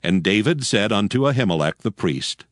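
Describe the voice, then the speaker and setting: A man's voice: lowish voice, expressive, moderate pace, no echo, studio quality. A man giving a solemn, scripted dramatic narration of scripture in a storytelling manner. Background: music.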